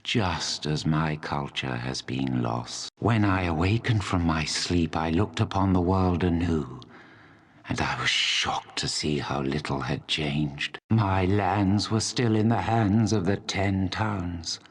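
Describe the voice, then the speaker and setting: An actor playing an ancient, proud and embittered dragon in a fantasy video game, speaking in a solemn, deliberate, coldly menacing way. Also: male voice, low voice, breathy edge, exaggerated voice. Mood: bitter, grave. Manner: slow, deliberate, solemn delivery.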